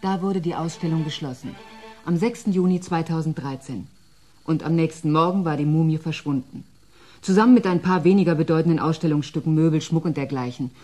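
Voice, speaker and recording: An actress playing a cool, formal senior culture official in a German radio play, brisk and slightly condescending. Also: female voice, low-pitched, dark tone, faint music behind. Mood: grave, discreet.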